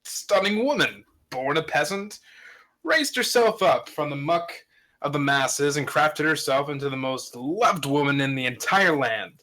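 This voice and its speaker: A man's voice: a little high, lively intonation, a little slow, sharp tone. A man playing a devoted fatherly dragon in a fantasy script table read, with earnest, grandiose storybook phrasing. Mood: admiring.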